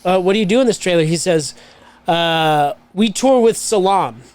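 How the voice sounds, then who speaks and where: A man's voice: high voice, lively intonation, moderate pace, darkish tone, clear, resonant tone, dry recording. Chatty man telling a long story. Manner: swearing, rambling anecdote with quoted voices. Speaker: podcast co-host. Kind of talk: casual storytelling chat.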